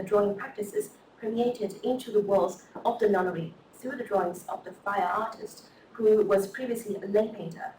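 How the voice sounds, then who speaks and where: A woman's voice: medium pitch, a little mellow, a little hiss or hum. A woman reading an academic paper at a symposium, careful and slightly hesitant. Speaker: conference speaker. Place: lecture room. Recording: echoey lecture hall, distant mic.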